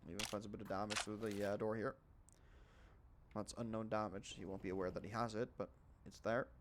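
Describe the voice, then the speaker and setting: Excitable man casting a shooter game. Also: a little fast, noisy background. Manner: rises in pitch and volume during fights, calm between rounds. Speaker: esports caster. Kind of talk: live play-by-play commentary. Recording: game gunfire audible behind.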